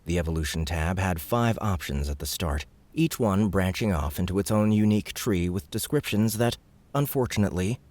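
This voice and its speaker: Deep-voiced male audiobook narrator. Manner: steady, measured storytelling. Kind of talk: scripted fantasy narration.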